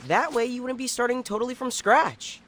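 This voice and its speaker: A man's voice: extremely high pitch, brisk, a bit bright. A man voicing an enthusiastic, geeky teenage mutant turtle boy in a cartoon game, eager and matter-of-fact. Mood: geeky, helpful. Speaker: voice actor in a game cutscene. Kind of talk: animated cartoon game acting.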